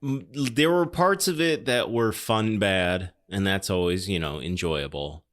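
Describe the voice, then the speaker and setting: A man's voice: lowish voice, a little slow, fairly bright tone. A man hosting a podcast, chatting casually about film in an emphatic, wryly critical way. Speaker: podcast host. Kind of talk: casual film discussion chat.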